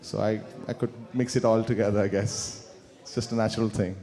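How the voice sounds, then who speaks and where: Indian-accented man, mellow voice, hall reverb. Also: lowish voice, level tone. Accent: Indian English. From South India. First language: Kannada. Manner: halting, many restarts and fillers. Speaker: musician guest. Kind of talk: interview answers. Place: large store event space.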